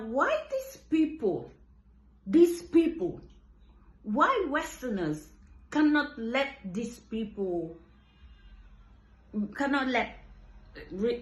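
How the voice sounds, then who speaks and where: A woman speaks slowly and deliberately at a middle pitch. Her delivery is emphatic, and she circles back over phrases to drive each point home. The room around her gives her voice a noticeable echo. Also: sing-song. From Senegal. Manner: emphatic, repeats and restarts phrases. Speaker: commentator. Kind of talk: impassioned monologue on politics. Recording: roomy echo.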